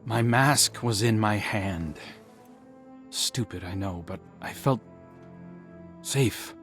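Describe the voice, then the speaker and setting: Earnest masked outcast, guilt-ridden, slow halting delivery, lowish pitch, sci-fi game drama. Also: male voice, music in the background. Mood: regretful. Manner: halting, soft-spoken confession with deliberate pauses.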